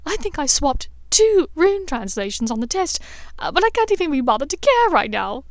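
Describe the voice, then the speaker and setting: A woman voicing an earnest, expressive teenage schoolgirl witch in an audiobook. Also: high-pitched, moderate pace, no echo, clean studio sound, voice pushed up. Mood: amused.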